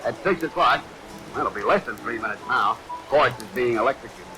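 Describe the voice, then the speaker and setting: A man playing a brisk, wisecracking fellow in a 1940s radio mystery play, on a noisy vintage recording. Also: medium pitch, animated, music in the background, natural voice. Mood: brisk, teasing. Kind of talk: 1940s radio drama acting.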